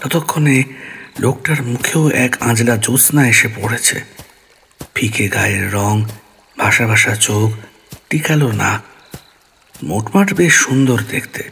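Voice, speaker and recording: A man's voice: mid-range voice, animated, a little slow, vocal fry, long pauses, fairly bright tone, husky. A man narrating a ghost story in the first person, dramatically, acting out his own dialogue.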